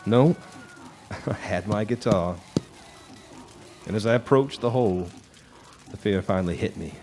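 Haunted hard-drinking male narrator played by an actor, deep, brooding, theatrical; live radio drama with noisy background. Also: a little slow, lightly acted. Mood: grim, haunted. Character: haunted, bitter, hard-drinking male guitarist telling his tale. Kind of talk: noir radio drama monologue. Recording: live stage recording with background noise.

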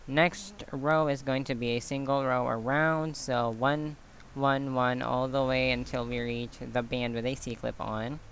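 Slow, gravelly man counting stitches. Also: mid-range voice, fairly even intonation, a little hiss or hum, poor audio. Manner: slow, quiet counting while working with hands. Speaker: craft tutorial maker. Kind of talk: step-by-step craft tutorial. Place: indoors at a table. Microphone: camera on hands, speaker off screen.

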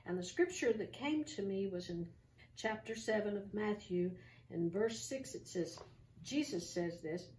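A woman's voice: medium pitch, expressive, measured pace. Older woman giving a homey sermon, roomy. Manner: gentle, conversational, with many ums and restarts. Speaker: host of an online church show. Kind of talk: informal devotional talk with scripture reading. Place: small room with curtains, seated in an armchair. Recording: roomy, distant home recording with faint hiss.

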